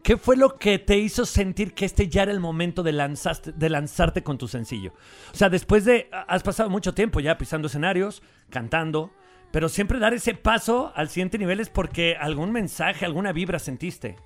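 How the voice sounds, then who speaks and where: A man's voice: high-pitched, expressive, normal speed. A man. He puts his questions casually and conversationally, as an interviewer.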